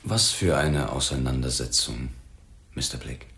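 Very deep-voiced sceptical police detective. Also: male voice, measured pace, creaky, fairly bright tone, full character voice. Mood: probing, controlled. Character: insistent, sceptical male police detective interrogating a suspect. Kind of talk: German radio crime drama acting.

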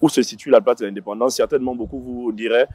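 A man's voice: mid-range voice, steady tone, quick pace, darkish tone, dry recording. A man in his thirties, a guest on a panel, speaking with many fillers and restarts, recorded outdoors on a lapel mic. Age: 30s. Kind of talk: panel discussion. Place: outdoor set on a city square.

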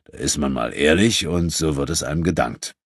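A man's voice: extremely low pitch, moderate pace, husky, dry recording, exaggerated voice. A man voicing a sardonic, sceptical warrior in an audiobook, with a dry and reproachful manner.

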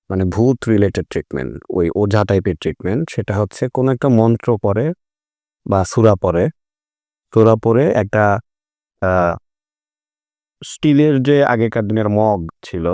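A man's voice: on the low side, warm tone, dry recording, studio quality. A man with a Bangladeshi accent, casually telling anecdotes in a group chat.

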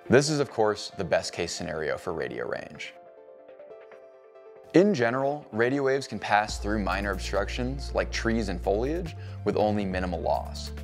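A man in his thirties giving a measured, scripted explanation indoors in a cabin-style studio. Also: on the low side, animated, faint music behind. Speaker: co-founder explaining radio range. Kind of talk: scripted educational narration.

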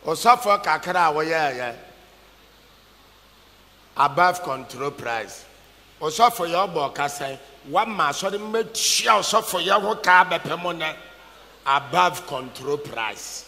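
A man's voice: on the high side, lively intonation, slow pace, pushed and bright. An older man with a Ghanaian accent preaching a sermon emphatically, repeating key phrases and calling for responses. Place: large packed church auditorium, on stage. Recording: echoey large hall PA, background noise.

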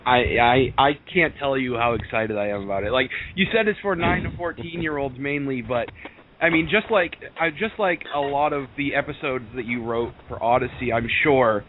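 A man of medium pitch talks casually as a podcast interviewer. The audio is tinny like a phone, with a faint layer of noise. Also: animated, moderate pace.

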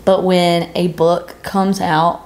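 A woman's voice: on the low side, flat delivery, slow pace, a little mellow. A young adult woman co-hosting a podcast in casual chat, with a teasing, incredulous way of asking questions. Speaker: podcast co-host. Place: living room, on a couch.

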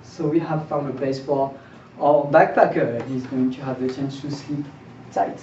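A man's voice: mid-range voice, fairly even intonation, normal speed, mellow. A young man giving an informal seminar lecture, putting questions to his listeners as he explains. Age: young adult. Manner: rhetorical questions, checks in with listeners. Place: small indoor seminar room. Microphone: far camera. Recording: distant roomy mic, dull, faint noise.

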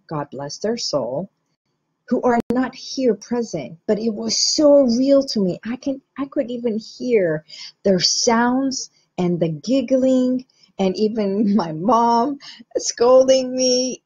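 A middle-aged woman from Iran, a hypnotherapist, explaining the mind to viewers in a slow, measured, guiding way. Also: a little high, mellow, slightly rough, highs cut off. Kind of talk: teaching talk to camera.

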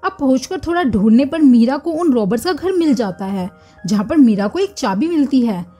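A woman with a fairly high, mellow voice tells a film's story as a steady scripted recap. Faint background music runs beneath her voice-over. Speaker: movie recap narrator. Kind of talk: scripted narration.

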